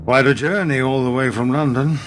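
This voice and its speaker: A man playing a reserved, questioning British spy in a radio play, measured, polite and probing. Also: medium pitch, fairly even intonation, a little hiss or hum, close to an everyday voice. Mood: polite, reflective.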